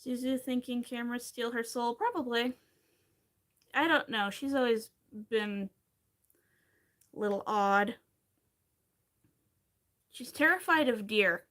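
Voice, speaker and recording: A woman's voice: a little high, slow, pauses often, a bit bright. A woman in her thirties from Pennsylvania, chatting casually and rambling with wry asides while she crochets on a livestream.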